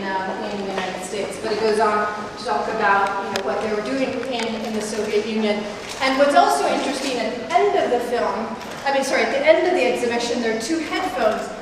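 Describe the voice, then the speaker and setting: A woman's voice: fairly high pitch, noisy background. Woman curator in an echoey gallery. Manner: chatty, many ums and restarts, walking and gesturing while talking. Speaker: museum curator. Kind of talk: gallery tour talk. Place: large gallery room, indoors. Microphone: handheld mic through a loudspeaker, recorded by a far camera. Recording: echoey gallery, speaker far off.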